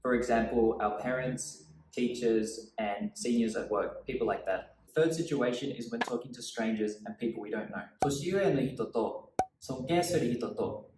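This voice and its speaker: A man's voice: medium pitch, moderate pace, noisy background. Easygoing man teaching in an echoey room. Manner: easygoing, laughs at own slips, switches languages. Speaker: language teacher. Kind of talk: bilingual video lesson. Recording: echoey room, mic at a distance.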